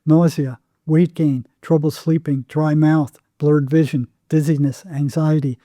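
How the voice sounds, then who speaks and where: A man talks as a podcast guest in a very dark, mellow voice that sits a little higher than average. The sound is close and dry, and he explains in anecdotes, leaning on repeated phrases for emphasis. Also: flat delivery, unhurried, no echo. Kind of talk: anecdotal interview talk.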